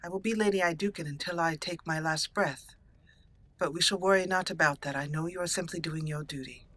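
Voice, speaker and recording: A woman voicing a proud, dignified exiled noblewoman warrior in a roleplayed video game, speaking formally and deliberately. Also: sits low, a bit bright, dry recording. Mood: proud, restrained.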